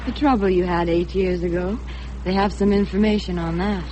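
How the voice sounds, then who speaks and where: A woman's voice: fairly low pitch, fairly even intonation, moderate pace, dark tone, muffled recording, lightly acted. An actress playing a poised, candid young rich woman in a radio drama, speaking directly with cool composure. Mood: curious, unfazed. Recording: noisy vintage radio drama recording.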